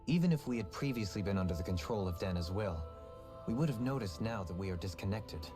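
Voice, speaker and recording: A man playing a composed, logical, skeptical adventurer in a video game, with formal, deliberate phrasing. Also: fairly low pitch, animated, music in the background, close to an everyday voice. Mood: composed, probing. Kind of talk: video game cutscene acting.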